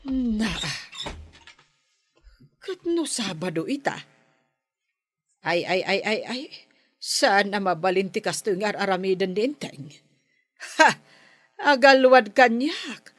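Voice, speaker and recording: Casting: woman plays scolding Ilocano wife, suspicious and quick to threaten, slow-paced, sharp bright voice, comic radio drama. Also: medium pitch, animated, dry recording. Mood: angry scolding. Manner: nagging, exclaiming.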